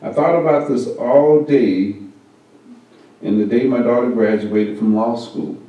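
A man reading a first-person story aloud at a church service, in a measured, unhurried way. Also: medium pitch, mellow. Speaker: service reader. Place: church meeting room. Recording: very echoey hall, mic far off.

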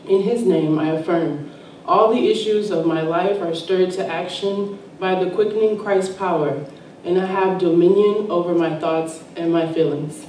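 A woman's voice: on the low side, darkish tone, slight background noise. Reverent woman reading in an echoey church. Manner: slow, measured, reverent reading. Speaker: church service reader. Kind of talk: reading spiritual text aloud. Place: church sanctuary. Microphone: distant room mic. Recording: echoey sanctuary from far off.

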